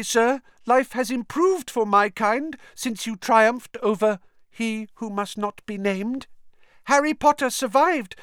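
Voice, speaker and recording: A man's voice: extremely high pitch, a bit bright, dry recording, clean studio sound, voice pushed up. A man voicing an anxious, servile male house-elf who pleads and keeps calling people sir.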